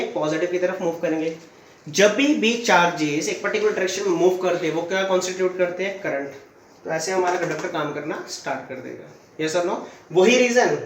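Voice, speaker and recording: Hoarse man teaching physics, high voice. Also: echoey room, distant mic, slight background noise, low-quality recording. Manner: asks questions and answers them himself; uses everyday stories as examples. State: sore throat. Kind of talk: classroom lecture.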